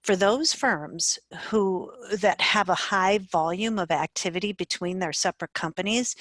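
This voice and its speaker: A woman with a fairly low voice narrates an instructional screencast. She explains things in a measured manner, as a software trainer would. The sound is dry, with very little room echo.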